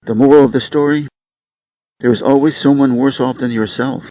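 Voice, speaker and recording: A man giving a measured, scripted reading of short fables for English learners, enunciating each phrase. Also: mid-range voice, fairly even intonation, no echo, muffled recording. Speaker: story reader.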